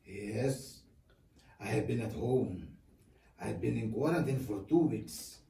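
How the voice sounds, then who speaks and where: A man's voice: mid-range voice, slow pace, vocal fry, mellow. A man voicing a polite, positive tiger puppet guest on a scripted children's puppet show, with stiff, recited delivery. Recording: echoey, distant, noisy room.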